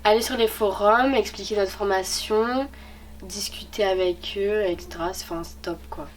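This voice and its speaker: A woman's voice: medium pitch, steady tone, normal speed. Chatty eighteen-year-old woman. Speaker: student ambassador. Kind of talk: casual testimonial.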